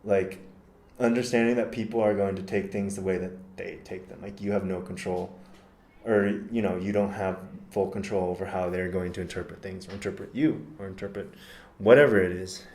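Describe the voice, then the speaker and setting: A man from the United States talking in a relaxed podcast conversation, thoughtful and measured, often pausing with ums and correcting himself. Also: deep voice. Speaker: podcast guest. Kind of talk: casual conversation. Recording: roomy echo.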